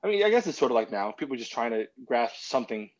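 A man's voice: medium pitch, big pitch swings, quick pace. A man in his thirties chatting casually in a rambling, sweary way over a video call from home. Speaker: podcast co-host. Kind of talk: casual chat. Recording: slightly dull lo-fi call audio.

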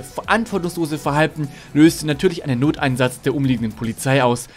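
A young man hosting a commentary video, delivering scripted narration in an indignant, sarcastic, lively way over faint music. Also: fairly high pitch. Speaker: YouTube commentary host.

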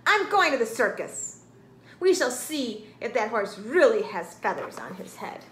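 A woman's voice: on the high side, lively intonation, moderate pace, roomy sound, slight background noise, lightly acted. A woman voicing a boastful, indignant rooster in a storybook, with a proud, squawking delivery.

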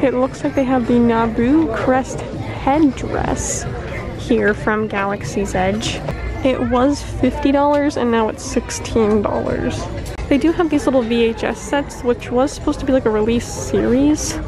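A woman's voice: fairly high pitch, measured pace, crowd noise. A young woman filming herself walking through a store on a handheld phone, chatting casually and reading out prices as she gushes over the items. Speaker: vlogger touring an outlet store. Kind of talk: casual shopping walkthrough. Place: indoor retail store. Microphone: handheld phone camera. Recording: store with background music playing.

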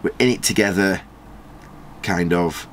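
A man, casually commenting on a football management game and reading out viewer comments in a small storeroom. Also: slight background noise. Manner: chatty, rambling, quick jumps in pitch when surprised. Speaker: gaming channel host.

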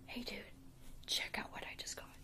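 A woman's voice: normal speed, close to an everyday voice. A young woman playing a nonchalant, boastful stoner friend in a casual, slangy ASMR roleplay. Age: young adult.

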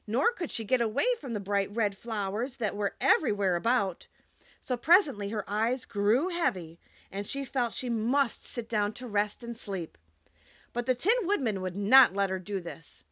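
Lively high-voiced woman reading a storybook. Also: normal speed, no echo. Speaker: audiobook narrator. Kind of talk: expressive scripted storybook narration.

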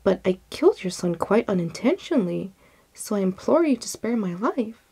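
A woman's voice: medium pitch, big pitch swings, moderate pace, warm tone, slightly rough, full character voice. A woman voicing a terrified male merchant in a storybook, imploring and protesting. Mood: pleading.

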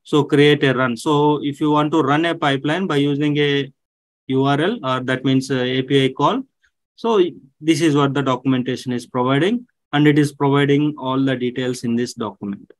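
A man in his thirties walking viewers through a software task on screen, step by step, with many "okay" and "so" fillers. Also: medium pitch, moderate pace, no room sound. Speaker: online tech instructor. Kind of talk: screen-share tutorial walkthrough. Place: indoors at a desk.

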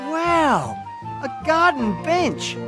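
A woman's voice: high voice, big pitch swings, very slow, full character voice. A woman voicing Max, a cheerful young farm boy puppet in a children's show. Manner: bright, enthusiastic and upbeat. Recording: studio voice over music.

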